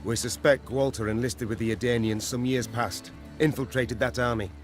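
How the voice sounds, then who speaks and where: A man's voice: mid-range voice, fairly even intonation, moderate pace, lightly acted. A man acting as a loyal knight officer from a fantasy game. He is earnest, formal and deferential, with a mid-range voice and faint music behind him. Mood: grave, reporting.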